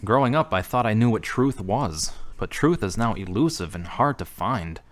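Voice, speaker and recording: A man's voice: on the low side, animated, moderate pace, lightly acted. A man playing an earnest, grieving former carpenter who is seeking faith again, in an amateur audio drama. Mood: troubled, searching.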